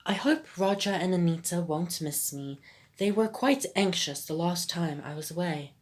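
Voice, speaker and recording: A woman's voice: lowish voice, moderate pace, lightly acted. A woman with a phlegmy, sick throat reading a video game's dialogue for a proper, devoted male Dalmatian father in a plain voice. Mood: earnest. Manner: reads game dialogue aloud in a plain voice.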